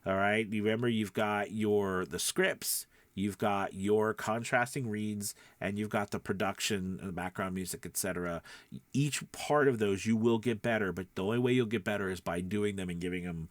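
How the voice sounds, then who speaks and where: A man's voice: on the low side, normal speed, dry recording. Encouraging male coach, close dry recording. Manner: encouraging, conversational, often checks in with "right?" and "okay". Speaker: host and voice-over coach. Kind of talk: casual instructional talk to listeners.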